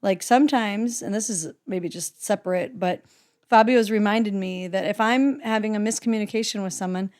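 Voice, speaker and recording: A woman's voice: mid-range voice, normal speed, darkish tone. Woman, podcast co-host, casual chat, encouraging, laughs easily. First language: English. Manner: encouraging, laughs often, advice-giving.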